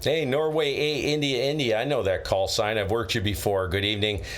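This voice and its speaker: A man's voice: medium pitch, fairly even intonation, fairly quick. A man operating amateur radio during a contest, courteously giving call signs in phonetic letters and procedural phrases. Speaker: amateur radio operator, live stream host. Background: radio static.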